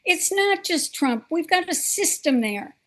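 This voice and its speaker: A woman's voice: very high-pitched, expressive, fairly bright tone. A woman hosting a political talk show, speaking earnestly and with emphasis. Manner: earnest, emphatic, slow and deliberate.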